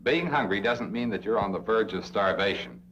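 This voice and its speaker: A man's voice: fairly low pitch, expressive, normal speed, fairly bright tone. An American man narrating a 1960s instructional film, speaking straight to the listener with emphatic phrasing and rhetorical questions. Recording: dull, noisy old film soundtrack with hum.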